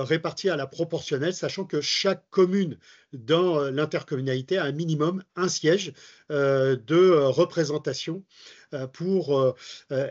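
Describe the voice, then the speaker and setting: A man giving a formal, didactic explanatory talk, speaking haltingly with very frequent hesitations and filler phrases. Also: fairly high pitch, muffled recording. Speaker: presenter of advice capsules for local election candidates. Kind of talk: semi-improvised explanatory talk to camera.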